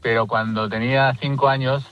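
A man's voice: medium pitch, fairly even intonation, a little fast, a bit bright, faint music behind. A man calling into a radio phone-in to tell a story about his daughter. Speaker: caller.

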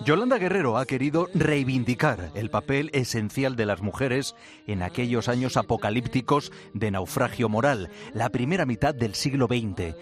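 Guy reading a radio report, measured and polished. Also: mid-range voice, expressive, faint music behind. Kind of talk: scripted radio news report.

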